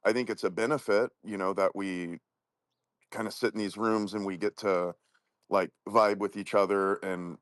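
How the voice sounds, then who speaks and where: Low-voiced, agreeable man. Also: fairly even intonation, a bit bright. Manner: agreeable, quick to affirm. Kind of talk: casual podcast chat.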